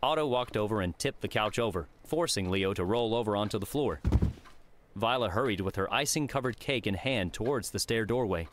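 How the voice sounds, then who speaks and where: A man's voice: fairly low pitch, expressive, brisk, a little hiss or hum. A man reading a work of fiction aloud as scripted audiobook narration.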